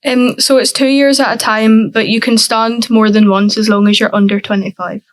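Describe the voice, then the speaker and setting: A teenage girl answering questions with a hesitant, um-filled delivery. Her voice is mid-pitched and kept fairly flat. The recording is dry and close, with no room sound. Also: normal speed, dry recording. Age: teens. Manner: hesitant, many ums. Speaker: youth councillor guest. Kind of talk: casual interview answers.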